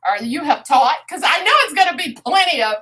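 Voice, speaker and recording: A woman's voice: high voice, lively intonation, brisk, sharp tone. A woman hosting a talk show, encouraging her guest and talking to viewers with a friendly "y'all". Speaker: show host. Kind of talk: casual interview chat.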